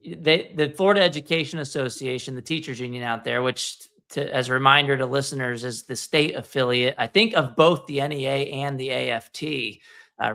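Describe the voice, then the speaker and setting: A man's voice: medium pitch, creaky, bright, forceful tone. A man hosting an interview, asking questions in a casual, chatty way with restarts and fillers. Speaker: interview host. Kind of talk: conversational interview.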